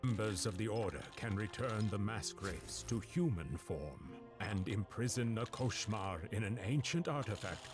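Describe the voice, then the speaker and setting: An actor playing a commanding master of monster hunters in a video game. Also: male voice, fairly low pitch. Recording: music behind the voice.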